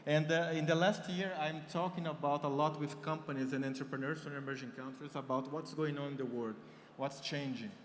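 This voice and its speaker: A man's voice: fairly high pitch, steady tone, fairly quick, a little hiss or hum. A man from Brazil with a Brazilian Portuguese accent, giving a conference keynote and explaining figures step by step with many fillers. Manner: explanatory, walks through numbers with frequent fillers. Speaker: keynote speaker. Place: large conference hall. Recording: roomy hall reverb with faint ambience.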